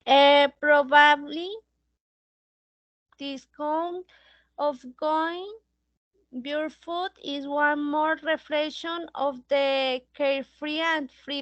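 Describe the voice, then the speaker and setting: Woman, 30s, halting English learner, dry close recording. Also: high voice, a bit bright, dry recording, lo-fi sound. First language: Spanish. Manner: halting, reads slowly with fillers. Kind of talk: reading a class presentation.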